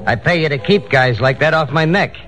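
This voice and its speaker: An actor playing a wary, blunt speakeasy operator with sardonic, slangy street talk, in a 1940s radio crime drama. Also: male voice, on the high side, fairly quick, close to an everyday voice. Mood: defensive. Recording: old radio broadcast, loud music behind.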